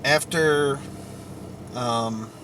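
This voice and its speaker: A man giving a rambling personal account as a vlogger, halting between short phrases. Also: medium pitch, noisy background.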